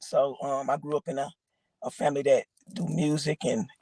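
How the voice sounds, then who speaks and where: A woman from Georgia with a raspy voice, a guest rapper rambling casually with lots of fillers. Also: rumbling low, level tone, normal speed, warm tone, no room sound. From Augusta, Georgia, raised in Atlanta. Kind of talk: casual interview chat.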